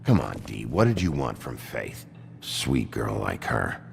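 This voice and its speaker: An actor playing a gruff, dogged detective in a video game, terse, dry and controlled as he presses a suspect. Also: extremely low pitch, sing-song, unhurried, faint music behind, full character voice. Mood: dry, needling.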